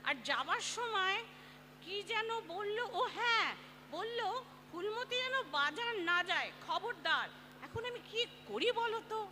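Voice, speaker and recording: Very high-pitched flustered housewife played by a woman. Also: lively intonation, unhurried, background noise. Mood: evasive, impatient. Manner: theatrical, stammering, hesitant when evasive. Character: flustered, exasperated Bengali housewife, scheming and evasive. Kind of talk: dramatic play reading.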